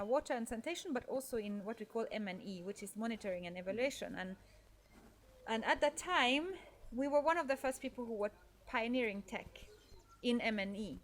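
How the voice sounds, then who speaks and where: A woman's voice: a little high, slight background noise. Thoughtful woman from Norway recounting her path. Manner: thoughtful, with frequent fillers and restarts. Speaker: guest. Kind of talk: conversational interview storytelling.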